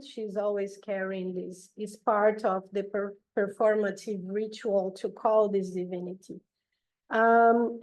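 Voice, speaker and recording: Slow, mellow Brazilian-accented woman. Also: medium pitch, poor audio. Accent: Brazilian Portuguese. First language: Portuguese. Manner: hesitant, many ums, a little nervous. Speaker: guest speaker. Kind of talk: online talk presentation. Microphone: video call.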